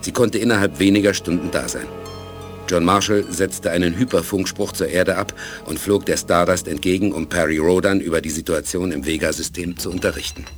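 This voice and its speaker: An actor as the sober narrator of a space adventure, telling it in a measured, dramatic way for a 1980s German radio play. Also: male voice, low voice, soft background music, lightly acted. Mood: sober, suspenseful.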